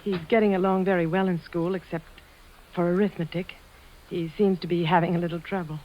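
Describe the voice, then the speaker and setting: A woman's voice: fairly low pitch, steady tone, fairly quick, close to an everyday voice. An American actress playing an anxious, evasive wife and mother, stammering nervously in a 1950s radio drama. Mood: gentle, uneasy. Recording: muffled vintage radio recording.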